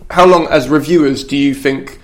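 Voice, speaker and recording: Mid-pitched male moderator, easygoing, questioning. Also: steady tone, normal speed. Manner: questioning, light laughs. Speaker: panel moderator. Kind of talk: panel discussion.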